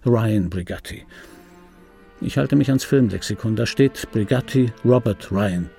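An actor playing a middle-aged film dubbing actor in a radio play, reminiscing in a wry, pedantic, digressive way with a gravelly, husky voice. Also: male voice, fairly low pitch, a little slow, warm tone, faint music behind. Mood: pedantic musing. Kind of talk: radio play monologue, confiding first-person reminiscence. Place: dry radio drama studio.

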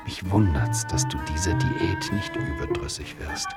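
An actor playing an ironic author who chides the listener, a mocking and lecturing narrator in a radio play. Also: male voice, low-pitched, measured pace. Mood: mocking, chiding. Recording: prominent music under the voice. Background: music.

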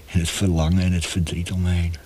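An actor playing a feverish, tortured young male prisoner facing death in a radio drama, speaking haltingly with hushed passages. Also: low-pitched, flat delivery, slight background noise, natural voice. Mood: resigned, regretful.

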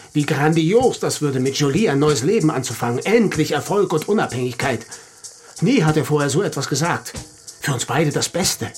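A man's voice: fairly high pitch, lightly acted. A man playing a distraught artist under police questioning in a crime radio drama, defensive and wounded.

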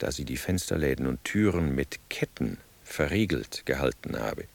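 Deep, creaky, disapproving accuser. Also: male voice, exaggerated voice. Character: stern male accuser reciting damning psychiatric report. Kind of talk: radio drama, reported testimony.

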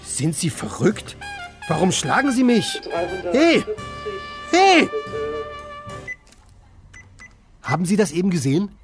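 An actor playing an aimless city drifter in a radio play, talking and musing in short, dry phrases. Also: male voice, high voice, huge pitch swings, measured pace, over music, heavily acted. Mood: indignant. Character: aimless, plain-spoken male city drifter. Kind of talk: radio play dialogue and first-person narration.

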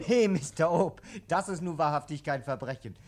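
An actor playing a jovial, easily amused police sergeant in a 1950s radio play, hearty and chuckling. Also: male voice, a little high. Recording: vintage 1950s radio recording.

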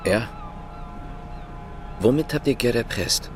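A man's voice: medium pitch, expressive, slow, noisy background. An actor playing an earnest, uneasy policeman in a radio drama, urgent and guarded. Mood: nervous, suspicious. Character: earnest, uneasy male policeman caught in a conspiracy.

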